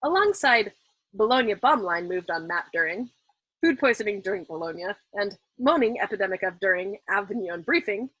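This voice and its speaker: A woman reading a novel aloud in an expressive, dramatic audiobook style, as the host of a storytime. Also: medium pitch, moderate pace, breathy edge, no echo, poor audio.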